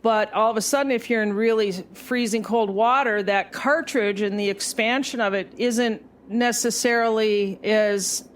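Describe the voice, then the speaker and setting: A woman's voice: fairly high pitch, a little hiss or hum. A woman hosting a casual podcast interview, asking questions in a hesitant way with fillers. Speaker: podcast host.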